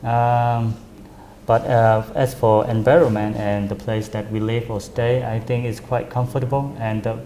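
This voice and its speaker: A man, an international student monk, answering interview questions in a hesitant, measured way with many fillers. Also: mid-range voice, level tone, dark tone. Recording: echoey room, faint ambience.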